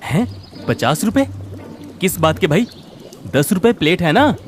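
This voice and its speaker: Man playing a lively, kind-hearted village labourer. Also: high-pitched, unhurried, soft background music. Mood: indignant. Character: kind-hearted, expressive young labourer husband.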